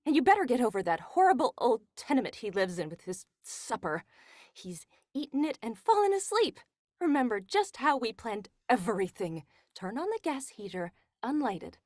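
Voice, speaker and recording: Woman playing a scheming, scornful wife, animated. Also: a little high, moderate pace, no echo, studio quality. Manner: scornful, commanding. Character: cold scheming wife, bossy and impatient. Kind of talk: 1940s radio melodrama acting.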